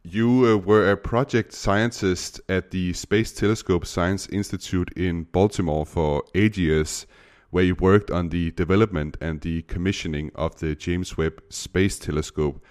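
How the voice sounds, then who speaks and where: Casting: deep-voiced Danish-native podcast host, hesitant, lots of uhs. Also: male voice, steady tone, unhurried, darkish tone. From Denmark. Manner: hesitant, many uh fillers. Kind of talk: interview podcast.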